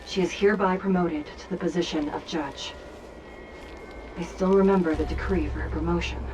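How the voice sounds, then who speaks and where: An actress playing a stern, composed female judge in an anime-style game, formal and measured in delivery. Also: on the low side, steady tone, background noise, close to an everyday voice.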